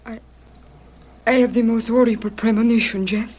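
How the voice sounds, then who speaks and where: An actress playing a devoted, anguished young Mexican woman in an old radio melodrama, pleading haltingly with a Mexican accent. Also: on the high side, steady tone, natural voice. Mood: fearful. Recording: muffled, noisy vintage radio recording.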